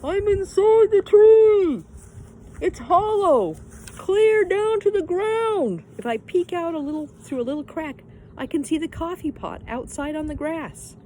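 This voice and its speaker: A woman voicing a bold, carefree, mischievous young girl with loud, playful declarations in a storybook reading. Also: extremely high pitch, animated, a little slow, a little hiss or hum, pitched above the performer's own voice. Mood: excited, calling out.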